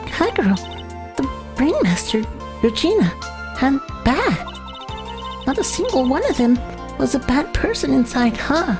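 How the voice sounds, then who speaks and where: A woman's voice: high voice, very animated, measured pace, pauses often, over music, heavily acted. A woman voicing a sad, naive young circus girl in a game, with a plaintive, faltering delivery. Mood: wistful.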